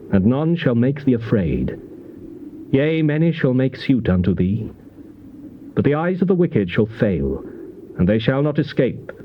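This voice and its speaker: An actor playing a stern, righteous elder who rebukes and admonishes in declamatory, rhetorical style for a dramatized scripture reading. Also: male voice, lowish voice. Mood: solemn exhortation. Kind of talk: dramatized scripture reading, classical stage acting. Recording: muffled old cassette tape, faint noise.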